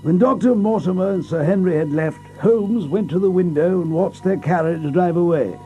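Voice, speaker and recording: An actor playing an amiable, earnest gentleman, a detective's companion, who also narrates the audio drama. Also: high-pitched, normal speed, faint music behind, natural voice.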